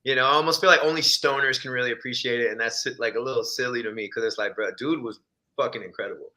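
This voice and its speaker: A young man talks casually about music, his tone bright and slightly forceful. He keeps it laid-back, drops plenty of slang and laughs often. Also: mid-range voice, quick pace. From Texas, born in Ecuador. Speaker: musician guest. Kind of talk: casual chat.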